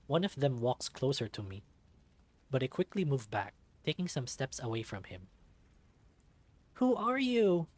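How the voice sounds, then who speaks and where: A man's voice: mid-range voice, expressive, moderate pace, darkish tone, dry recording. A man reading a visual novel aloud in dramatic first-person narration.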